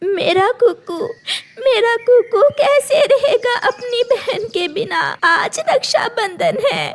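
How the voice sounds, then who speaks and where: A woman's voice: very high voice, lively intonation, slow, voice pushed up, heavily acted. A woman voicing an anxious, protective mother bird in a cartoon, pleading and tearful with grief.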